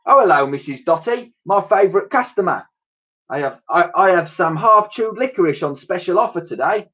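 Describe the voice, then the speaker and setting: A man's voice: high voice, lively intonation, moderate pace, a bit bright, voice pushed up. A man voicing a cheery, eager market sweet seller in a children's storybook, on a lo-fi recording. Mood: cheerful. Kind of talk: storybook character voice. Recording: dull, lo-fi recording.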